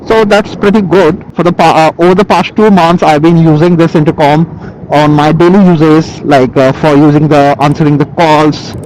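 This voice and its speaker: A man with an Indian accent giving a casual, halting product review as a motovlogger, speaking into a helmet intercom microphone. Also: on the high side, fairly even intonation, a little mellow, rough recording. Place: outdoors, riding a motorcycle. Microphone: Bluetooth helmet intercom microphone. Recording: helmet intercom mic with vehicle noise.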